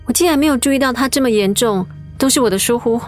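A woman voicing a caring young niece in a radio drama, gentle and polite in her speech. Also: fairly high pitch, fast talker, soft background music, lightly acted. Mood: concerned.

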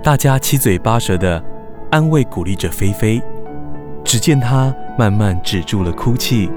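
A man narrating a children's radio drama in measured, expressive storytelling. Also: lowish voice, close to an everyday voice. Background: background music.

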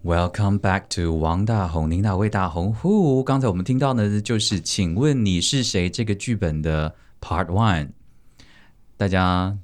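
A man with a fairly low voice hosts a podcast. He talks conversationally to listeners and guests, now and then slipping in an English word. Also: unhurried. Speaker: podcast host. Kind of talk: conversational hosting.

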